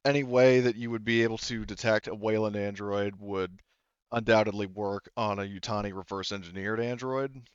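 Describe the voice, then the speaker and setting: A man's voice: fairly low pitch, fairly even intonation, normal speed, clear, resonant tone, no echo, muffled recording. A man acting as game master, explaining tabletop game details in a hesitant, rambling way. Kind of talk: improvised tabletop game narration.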